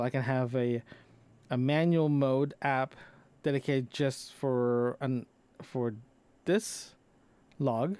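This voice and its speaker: A man recording a casual, unscripted solo audio log, talking in a rambling, halting way and often rephrasing himself. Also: medium pitch, darkish tone, clear, resonant tone, dry recording. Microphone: close microphone into a recorder, compressed.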